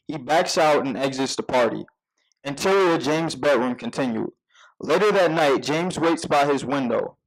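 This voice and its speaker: A man reading scripted stage directions as narrator at a live table read, measured with occasional stumbles. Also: on the high side, rough recording.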